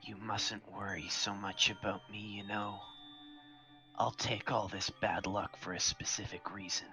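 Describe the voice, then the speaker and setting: A man playing a soft-spoken, self-deprecating young man with a gentle, teasing, admiring manner in a fan fiction roleplay. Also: on the low side, little pitch movement, a little slow, soft background music, exaggerated voice. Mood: gentle, reassuring.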